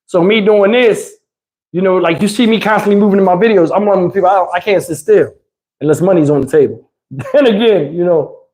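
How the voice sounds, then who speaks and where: A man's voice: high-pitched, fairly quick, a little mellow, rough recording. A man hosting a livestream, chatting with viewers in a slangy, profane, rambling way. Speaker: livestream host. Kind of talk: casual livestream chat with viewers.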